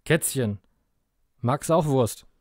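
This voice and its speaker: A man plays a young troll from a storybook tale, cheeky and teasing, in a mid-pitched male voice. He sounds like a mischievous fairy-tale creature. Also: takes it slowly, darkish tone.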